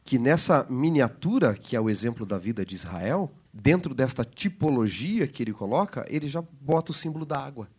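Brazilian pastor guy explaining bible stuff real fast, kinda husky. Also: medium pitch, lively intonation, darkish tone. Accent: Brazilian Portuguese. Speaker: pastor and co-host of a Bible lesson program. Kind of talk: Bible study discussion.

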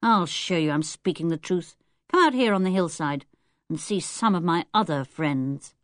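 A woman's voice: lowish voice, lively intonation, normal speed, no room sound, clean studio sound, close to an everyday voice. A woman voicing a defiant, scowling ten-year-old boy who talks rudely and scornfully. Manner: rude, scornful, bossy.

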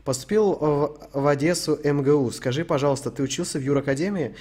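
A young man from Ukraine talks to his stream in a loose, joking way. His voice runs a little high, and he swears freely as he rambles through the questions. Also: fast, a little mellow. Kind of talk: casual stream Q&A.

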